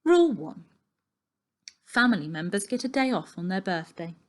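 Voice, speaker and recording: A woman voicing a firm, bossy aunt who is kind underneath, speaking briskly in a storybook reading. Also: mid-range voice, big pitch swings, natural voice. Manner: brisk, commanding.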